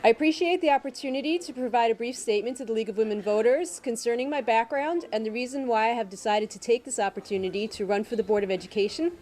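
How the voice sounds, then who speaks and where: A soft-spoken woman reading a candidate's prepared statement aloud with care. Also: on the high side, fast talker, few pauses.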